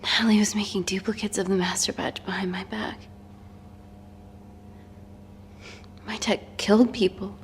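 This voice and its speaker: An actress playing an earnest, hurt but resolute scientist, restrained and naturalistic in a film drama. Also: female voice, mid-range voice, little pitch movement, a little fast, a little hiss or hum, lightly acted. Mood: shaken.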